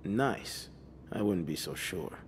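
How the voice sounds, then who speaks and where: An actor playing a skeptical, middle-aged police detective in a mystery game, questioning in a probing, condescending manner. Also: male voice, low-pitched, animated, takes it slowly. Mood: pressing, doubtful. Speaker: video game character. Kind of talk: narrative mystery game voice acting. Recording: long room reverb, faint noise.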